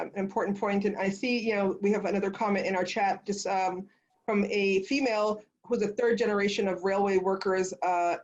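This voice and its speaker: Female moderator for a panel discussion, mid-range voice, reflective and tentative, muffled low-fidelity sound. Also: darkish tone.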